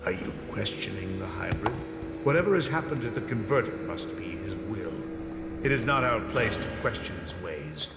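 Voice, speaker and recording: Villainous game zealot: very deep, imperious, long reverb, loud music underneath. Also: male voice, lively intonation, moderate pace, vocal fry. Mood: zealous, menacing. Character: zealous, menacing male enforcer devoted to his master. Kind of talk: video game voice acting. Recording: echoey hall reverb, loud music behind.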